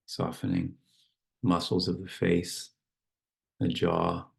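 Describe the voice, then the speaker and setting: A man leading a guided meditation and reading verse, speaking slowly and softly with long pauses. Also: sits low, very animated, gravelly, slightly rough. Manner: slow, unhurried, soft instruction with long pauses. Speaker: meditation teacher.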